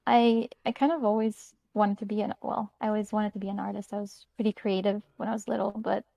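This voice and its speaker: A woman from Croatia talks through her story as a guest on an online chat, easygoing and chatty. Her voice is mellow and warm. The sound is dry, with no room echo. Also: mid-range voice, fairly even intonation, a little fast, vocal fry. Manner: easygoing, chatty, light laughs. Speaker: guest artist. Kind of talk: casual interview answers.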